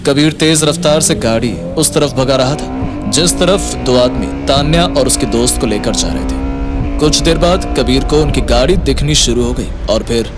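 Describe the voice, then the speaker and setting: A man's voice: mid-range voice, animated, normal speed. A man narrating a Hindi audio story in a dramatic scripted style. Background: music.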